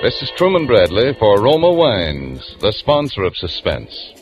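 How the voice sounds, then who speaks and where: A male announcer on a vintage radio program, reading a wine commercial in a smooth, persuasive delivery. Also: lowish voice, huge pitch swings, a little slow, soft background music. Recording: dull old radio broadcast.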